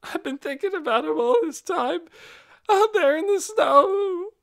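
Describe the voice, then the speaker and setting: A woman's voice: very high voice, expressive, a little slow, pitched above the performer's own voice, exaggerated voice. A woman voicing a guilty, wounded teenage girl in an audiobook, plaintive and pleading, with folksy, uneducated grammar. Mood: remorseful.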